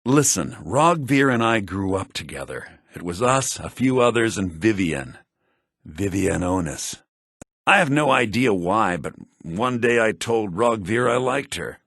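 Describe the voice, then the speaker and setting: An actor playing a haughty, grumbling fantasy townsman in a video game, theatrical and sardonic, in low-bitrate audio. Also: animated, measured pace, a bit bright, no room sound, clean studio sound, heavily acted. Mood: resentful. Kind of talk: video game character voice lines.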